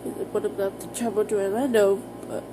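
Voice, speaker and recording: An American woman talking casually to her viewers in an informal, halting, rambling vlog style. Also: medium pitch, fairly even intonation, music in the background, low-quality recording. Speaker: vlogger.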